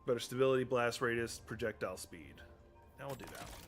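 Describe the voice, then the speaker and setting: Casual male streamer, mid pitch, hoarse and tired-voiced, rambly with ums, faint background music. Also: fast. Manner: rambling, many ums and restarts, talking through menus. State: losing his voice. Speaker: game streamer. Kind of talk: casual live-stream commentary.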